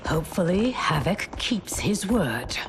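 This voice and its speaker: An actress playing a proud, fiercely loyal warrior empress, earnest and formal, in a video game drama. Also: female voice, on the low side, sing-song, a little slow, background noise. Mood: weary.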